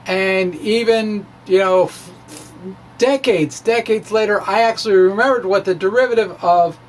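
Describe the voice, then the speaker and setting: A speaker giving an informal, off-the-cuff physics explanation in a halting, self-interrupting, conversational way. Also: mid-range voice, a little hiss or hum. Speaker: amateur physicist explaining own work.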